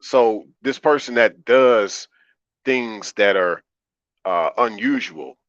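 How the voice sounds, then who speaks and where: A man with a deep baritone voice talking calmly and conversationally as a livestream host, repeating phrases for emphasis. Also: slow, creaky. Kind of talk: conversational livestream monologue, answering chat. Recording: roomy, slightly dull lo-fi audio.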